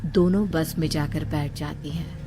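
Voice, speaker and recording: A woman's voice: sits low, steady tone, a little slow. A woman reading a scripted horror story as its narrator. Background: background music.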